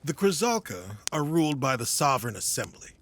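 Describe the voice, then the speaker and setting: An actor playing a legendary warrior hero and commander in an audio drama, weary and formal in a measured delivery. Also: male voice, medium pitch, very animated, a bit bright, a little hiss or hum. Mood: curt, authoritative.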